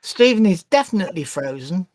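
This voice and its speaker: A woman's voice: lowish voice, sing-song, brisk, darkish tone, no echo, studio quality. A woman co-hosting an online show in casual chat, with a wry, grumbling manner.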